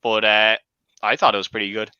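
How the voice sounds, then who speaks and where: A man's voice: on the low side, level tone, fairly quick, bright, forceful tone, clean tone, dry recording, highs cut off. A man giving his opinions casually as a panellist in an informal film debate.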